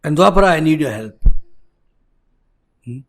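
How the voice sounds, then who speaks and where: A man's voice: animated, normal speed, fairly bright tone. Man voicing a seething, vengeful demon god. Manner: low, brooding, seething threats. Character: wrathful male demon deity, jealous, vengeful.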